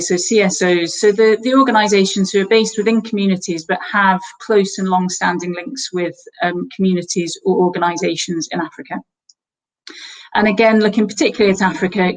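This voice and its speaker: A woman's voice: medium pitch, rough recording. A woman, not Welsh-accented, presenting report findings online and talking with many fillers and restarts. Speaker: guest presenter.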